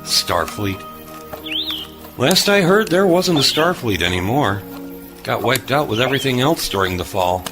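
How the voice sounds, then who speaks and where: An actor playing a gruff, weary former starship captain turned repairman in a sci-fi audio drama, dry, curt and sardonic. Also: medium pitch, big pitch swings, unhurried, over music. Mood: gruff, dismissive. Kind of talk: science fiction audio drama acting.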